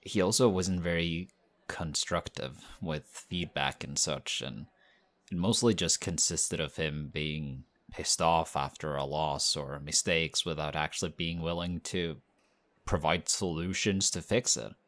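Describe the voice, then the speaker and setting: A man's voice: rumbling low, unhurried, exaggerated voice. A man playing a modest young team captain. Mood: excited, friendly. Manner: relaxed, modest, chatty. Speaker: audio roleplay actor. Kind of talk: audio roleplay.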